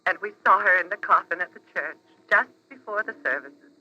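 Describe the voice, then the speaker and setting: A woman's voice: on the low side, a little fast, bright, forceful tone. An actress playing a polite older mother speaking through a telephone filter in a 1940s radio drama. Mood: solemn. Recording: dull vintage radio, telephone line.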